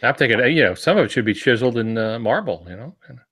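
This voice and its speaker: A man's voice: mid-range voice, expressive, racing, bright, forceful tone, slightly rough, dry recording. A man co-hosting a podcast in casual, conversational chat. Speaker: podcast co-host.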